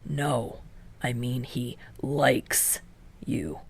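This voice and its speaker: A woman voicing a gruff, awkward, blunt teenage boy athlete in an audiobook, muttering and halting. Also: rumbling low, voice pushed down, heavily acted. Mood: awkward, earnest.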